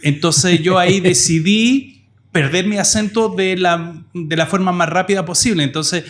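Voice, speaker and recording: A man's voice: sits high, a little slow, a bit bright. A middle-aged man from France, a native French speaker, telling casual anecdotes as a guest in Chilean Spanish with a slight French trace. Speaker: podcast guest.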